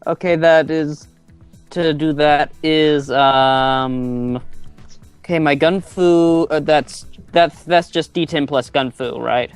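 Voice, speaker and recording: A man's voice: on the high side, steady tone, slow pace, clear, resonant tone, faint music behind. A man playing a tabletop game, chatting casually in a hesitant way, often repeating his words. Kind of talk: casual chat during a game.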